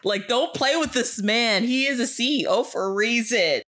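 A woman co-hosting a podcast, chatting about a TV show in an animated, opinionated way full of asides. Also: a little high, normal speed. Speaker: podcast co-host. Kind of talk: casual chat reviewing a TV show.

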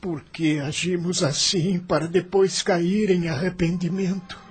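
A man's voice: high-pitched, steady tone, a little slow, breathy edge, soft background music, full character voice. An actor with a Brazilian accent playing a confused, remorseful spirit of a dead man in a radio drama, anguished and questioning.

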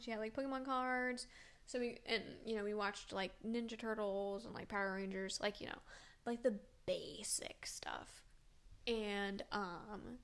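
A young woman talking casually to camera with a stuffy, congested nose, rambling and filling her talk with "like". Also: mid-range voice. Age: young adult. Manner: rambling, full of "like", laughs often. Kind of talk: casual vlog chat.

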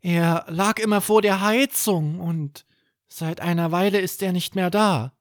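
High-pitched anxious little boy. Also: unhurried, no room sound, clean studio sound. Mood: puzzled, anxious. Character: small, wide-eyed, anxious, curious boy.

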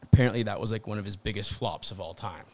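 A man's voice: lowish voice, fast talker. A young man co-hosting a podcast, joking and ranting in casual chat with friends. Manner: laughing, joking, animated rants. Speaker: podcast co-host.

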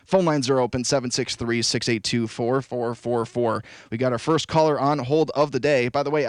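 A man's voice: on the high side, dry recording, clean studio sound. A young man hosting a local radio call-in show, talking casually with lots of fillers and reading ads. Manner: chatty and rambling, with many fillers. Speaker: radio host. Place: radio studio. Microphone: studio microphone.

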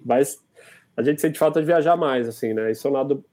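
A man, hosting a casual interview in a relaxed, rambling way, full of fillers. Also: medium pitch, fairly quick. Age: 30s. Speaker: interviewer, father.

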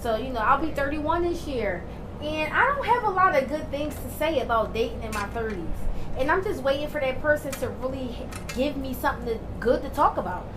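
High-pitched thirty-something woman, blunt chatty podcast host, noisy background hiss. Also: fairly quick. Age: 30s. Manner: blunt, animated, repeats herself. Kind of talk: casual chat.

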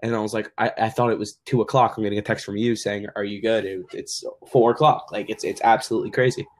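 A man's voice: on the low side, steady tone, fast talker. A young man hosting a casual podcast, rambling through his thoughts with lots of "like" fillers. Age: young adult. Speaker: podcast host. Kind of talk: casual chat.

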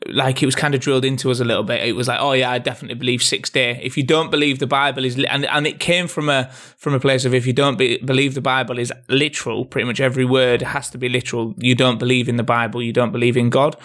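A man in casual podcast talk tells a story with a rambling, restarting flow and laughs often. His voice sits at an ordinary middle pitch. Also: little pitch movement, fast, few pauses, fairly bright tone. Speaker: podcast co-host. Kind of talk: casual chat.

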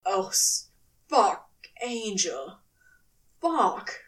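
A woman voicing a devoted, rough-edged male demon lover in an audio fiction reading, with a hoarse, low-key delivery. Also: sing-song, extremely slow, fairly bright tone, recorded from a distance, full character voice. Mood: aroused, devoted.

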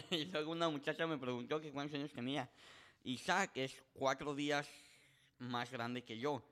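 Rambling twenty-something host. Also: male voice, on the high side, fairly even intonation, normal speed, fairly bright tone. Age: 20s. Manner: rambling storyteller, many filler words, switches between Spanish and English. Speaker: podcast host. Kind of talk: casual bilingual chat.

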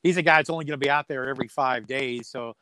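A man's voice: medium pitch, fast, pushed and bright, clear, resonant tone, no echo. A man speaking as a sports announcer being interviewed, chatting casually in a folksy, joking way with dry humour. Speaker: radio sports play-by-play announcer as interview guest.

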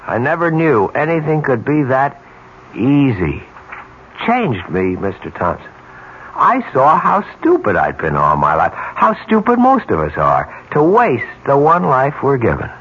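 Giddy, polite killer, wildly swooping voice. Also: male voice, mid-range voice, huge pitch swings, measured pace. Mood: elated, intense. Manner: stammering, elated, softly menacing politeness. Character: meek man turned giddy, cold-blooded killer. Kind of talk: 1970s radio mystery acting. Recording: dull, noisy 1970s radio recording.

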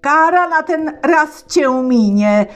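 A woman voicing a wrathful bearded old tsar, shouting and threatening in a raised voice. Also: high voice, lively intonation, takes it slowly, faint music behind, voice pushed up.

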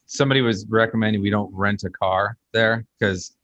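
Low-voiced man chatting as host. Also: fairly even intonation, a little fast, fairly bright tone, no echo. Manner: chatty, storytelling with fillers. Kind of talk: casual conversation.

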